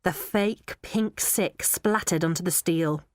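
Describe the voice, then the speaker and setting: A woman narrating a children's audiobook in a measured, even way. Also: on the low side, no echo, studio quality. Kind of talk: storybook narration.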